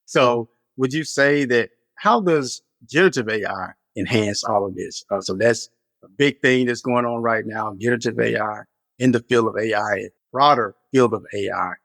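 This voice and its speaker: A man's voice: medium pitch, moderate pace, gravelly, a bit bright, dry recording. A man hosting a business podcast, reading an upbeat scripted intro, then asking interview questions. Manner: upbeat announcer delivery in the intro. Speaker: podcast host.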